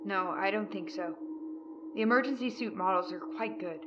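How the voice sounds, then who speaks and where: A woman's voice: mid-range voice, moderate pace, highs cut off, over music, natural voice. An actress playing a tough, commanding female rebel captain in a sci-fi audio drama. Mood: calm, planning. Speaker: voice actor.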